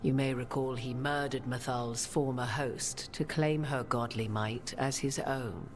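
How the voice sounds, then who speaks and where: A woman playing a solemn human sorceress in a video game, speaking in measured, archaic, formal phrases. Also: a bass voice, steady tone. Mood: grave, formal. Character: solemn human sorceress bearing an ancient goddess's memories. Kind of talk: dramatic video game dialogue. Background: prominent music.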